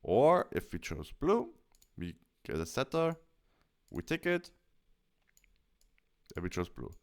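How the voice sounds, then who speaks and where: A man presenting a software tutorial, explaining each step as he goes. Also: on the low side, huge pitch swings, slow pace, vocal fry.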